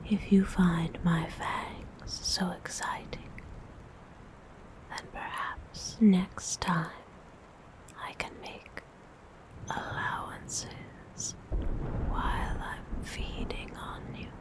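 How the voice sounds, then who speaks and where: A woman voicing a haughty, seductive female vampire in a breathy, teasing whisper for an ASMR roleplay. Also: fairly low pitch, fairly even intonation, low-quality recording. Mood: haughty, indulgent. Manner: slow, haughty, teasing, formal wording.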